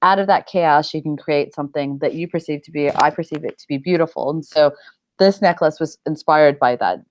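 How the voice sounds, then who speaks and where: Low-pitched middle-aged woman, chatty interviewee. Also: brisk, a little mellow, slight background noise, low-quality recording. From United States. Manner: rambling, informal, frequent "like" and "you know". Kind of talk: casual interview chat.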